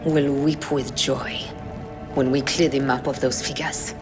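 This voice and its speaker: A very deep-voiced vengeful warrior mother. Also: flat delivery, a little slow, music in the background. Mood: grieving resolve. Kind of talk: scripted video game cutscene acting.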